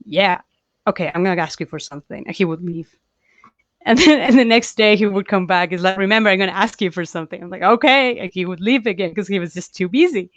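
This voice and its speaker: A young woman from Chile, a comic artist chatting as an interview guest, talking freely with halting restarts and easy laughs. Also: mid-range voice, dry recording. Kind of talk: casual interview chat. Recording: dull, dry lo-fi call audio.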